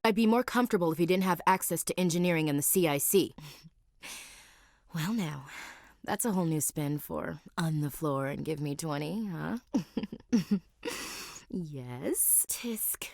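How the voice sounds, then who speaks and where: A blunt young space marine gal for a game, lowish voice, super dry close studio sound. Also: female voice, measured pace, gravelly, long pauses, a little mellow, dry recording. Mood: wry. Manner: blunt, dry, wry military talk. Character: young female Marine gunnery chief, blunt and protective. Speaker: soldier. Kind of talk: video game voice acting. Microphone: close studio mic.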